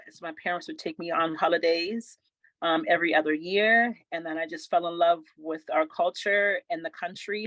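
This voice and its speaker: Woman, 40s, from England, interview guest, lively anecdotes, lowish voice, dry recording. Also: dry recording, poor audio. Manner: lively storyteller, laughs often. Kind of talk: casual interview.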